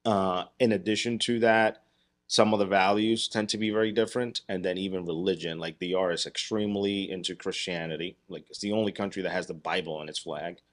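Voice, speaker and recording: A man in his thirties speaks in a very low voice, laying out his points slowly and at length. He talks on a podcast in a dark studio. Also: fairly even intonation. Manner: measured, explaining at length. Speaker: podcast co-host. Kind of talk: explanatory podcast talk.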